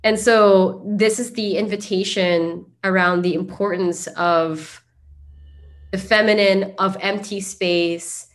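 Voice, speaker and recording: A woman's voice: medium pitch, steady tone, slow pace. A woman hosting an interview, musing aloud with enthusiasm and lots of fillers. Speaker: summit host. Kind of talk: interview hosting, spiritual talk.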